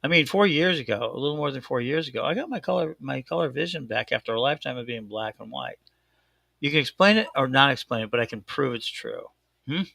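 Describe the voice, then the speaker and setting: A man with a thick Chicago accent hosting a casual chat show, talking in an excitable, rambling way and correcting himself often. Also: medium pitch, quick pace, gravelly, no echo. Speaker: host. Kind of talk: casual live-stream chat.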